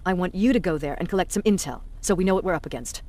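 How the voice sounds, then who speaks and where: An actress playing a tough, stern resistance commander in a video game, authoritative, curt and dryly sardonic. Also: female voice, lowish voice, lively intonation, rapid-fire, a little mellow, close to an everyday voice. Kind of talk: dramatic video game acting.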